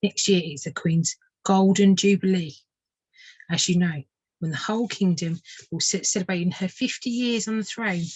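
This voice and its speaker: A woman narrating a children's storybook like a tutor reading aloud, measured and expressive. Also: fairly low pitch, husky, no room sound, low-quality recording.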